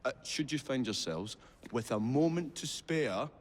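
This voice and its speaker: A man's voice: mid-range voice, lively intonation, unhurried. A male actor playing a kindly, absent-minded inventor in a video game, speaking in hesitant, formal, wordy phrases. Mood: grateful, earnest. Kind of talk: video game cutscene acting.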